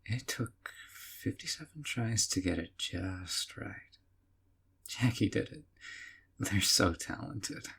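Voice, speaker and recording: A sweetly obsessive young man who has taken someone home to pamper them, as a male voice actor plays him. His voice sits very low and husky, and he speaks softly and coaxingly, often dropping to a whisper, in an audio roleplay. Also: expressive, slow, exaggerated voice. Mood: doting.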